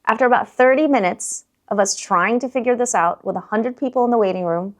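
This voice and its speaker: A woman's voice: a little high, fairly quick. A woman hosting a business show, speaking upbeat and self-deprecating, often laughing as she tells stories and teaching through metaphors. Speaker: beauty business owner and show host. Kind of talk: business talk-show monologue.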